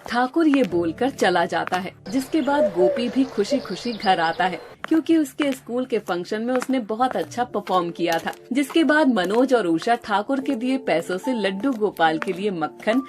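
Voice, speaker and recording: A woman's voice: mid-range voice, expressive, moderate pace, few pauses. Woman storyteller over prominent music. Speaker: narrator. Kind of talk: scripted storytelling narration. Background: music behind the voice.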